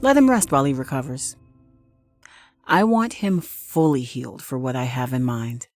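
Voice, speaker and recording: A woman's voice: a bass voice, animated, moderate pace, a little mellow, soft background music. A woman playing a nurse in an audio drama, narrating theatrically in the first person, soothing on the surface and vengeful underneath. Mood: cold, brooding. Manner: theatrical, swinging between cooing reassurance and private contempt. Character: vengeful nurse, sweetly soothing outside, cold and bitter within.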